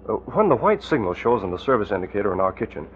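A cool, decisive crime-fighter from a vintage radio serial. He gives brisk orders with wide swings of pitch, and the recording is old and muffled. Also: big pitch swings, a little hiss or hum. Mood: explaining. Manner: brisk, authoritative. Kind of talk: 1940s radio acting.

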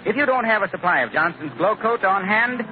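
Animated American male vintage radio announcer. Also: high voice, brisk, phone-quality sound. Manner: polished, explanatory sales delivery. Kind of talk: 1940s radio commercial, scripted and persuasive. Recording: noisy band-limited old radio recording.

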